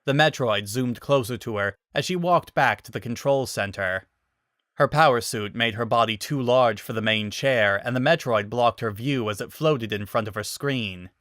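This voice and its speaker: A man's voice: mid-range voice, moderate pace, a bit bright, no echo, studio quality. A man giving a dramatic scripted narration of a story.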